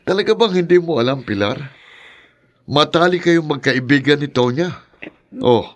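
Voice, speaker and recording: A man's voice: on the high side, expressive, moderate pace, close to an everyday voice. An actor playing a bitter, domineering male guardian in a radio drama, with a stubborn, emphatic manner. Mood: bitter anger. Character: Gardo, bitter, domineering, resentful guardian man. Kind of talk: Tagalog radio drama acting.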